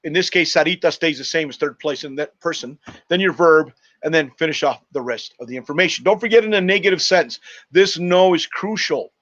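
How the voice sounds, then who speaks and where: Higher-voiced male teacher, dull lo-fi sound. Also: brisk, a bit bright. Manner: instructive, spells out letters. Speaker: Spanish teacher. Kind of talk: screen-share lesson, step-by-step explanation.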